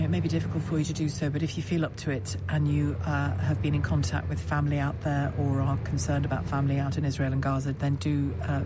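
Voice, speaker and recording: Halting woman hosting a radio phone-in. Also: deep voice, heavy background noise. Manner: halting, many restarts, gently prompting. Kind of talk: live phone-in talk radio.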